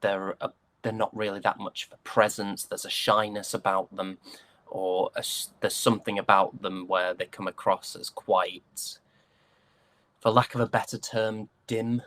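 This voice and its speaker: A man's voice: fairly low pitch, little pitch movement, measured pace, fairly bright tone. A British man talking casually as an interview guest, thoughtful and enthusiastic about his craft. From United Kingdom. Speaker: interview guest, stage and voice actor.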